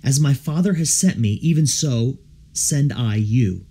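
A man reads a devotional audiobook in a mellow, slightly husky voice of medium pitch. His delivery is measured and solemn, with lively rises and falls that give the scripted text a rhetorical shape. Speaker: audiobook reader. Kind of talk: scripted narration of a devotional book.

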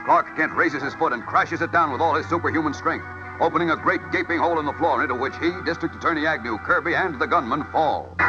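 A man's voice: on the high side, lightly acted. A man performs as an urgent announcer for a 1940s adventure serial. The storytelling is dramatic and suspense-laden, in a dull vintage broadcast recording with prominent music behind. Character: breathless male narrator of a superhero adventure serial. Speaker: radio serial narrator. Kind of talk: 1940s radio serial narration. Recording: dull old radio recording with organ music.

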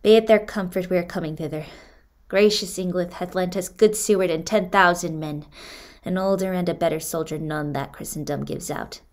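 An actress playing a guarded young exiled prince in a Shakespearean radio drama, with measured, declamatory verse. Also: female voice, on the low side, fairly even intonation, natural voice. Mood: resolute.

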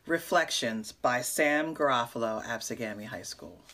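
A woman's voice: very deep voice, normal speed, bright, forceful tone, slight background noise. A middle-aged woman presenting awards in a scripted announcement style, reading out nominees and winners. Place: indoors, in front of a curtain.

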